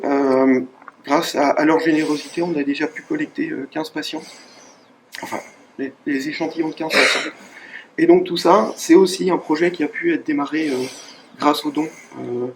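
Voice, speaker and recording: A man, a cancer researcher, giving a halting speech of thanks at a charity event, with many pauses and fillers. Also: on the high side, background noise. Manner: hesitant, many fillers and restarts.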